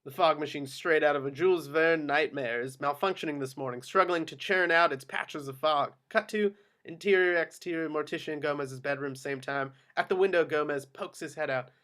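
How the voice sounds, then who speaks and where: A man's voice: fairly high pitch, brisk, few pauses, fairly bright tone. A man reading screenplay action lines as the narrator of a table read. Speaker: stage directions narrator.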